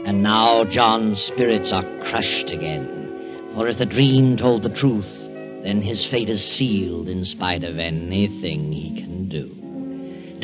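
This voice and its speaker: An actor narrating a mystery tale as an ominous storyteller, grave and dramatic in scripted 1940s radio style. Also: male voice, lowish voice. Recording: muffled old radio broadcast. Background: dramatic music.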